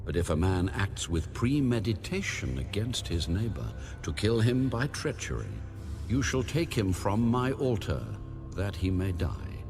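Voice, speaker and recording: A low-voiced man gives a solemn scripted reading of scripture as an audio Bible narrator. His intonation is lively, and music plays clearly behind him. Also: unhurried.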